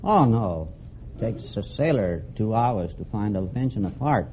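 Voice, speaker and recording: A man's voice: fairly low pitch, lively intonation, moderate pace. Plain-spoken American serviceman, dull old radio. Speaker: quiz contestant, Navy Seabee serviceman. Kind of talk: anecdote telling on a quiz show. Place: stage before a live studio audience. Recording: dull vintage radio recording, faint noise.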